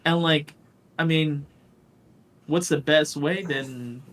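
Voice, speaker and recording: A man's voice: a little high, slow, a bit bright. A man chatting casually in a hesitant, rambling way. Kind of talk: casual chat. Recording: faint background noise.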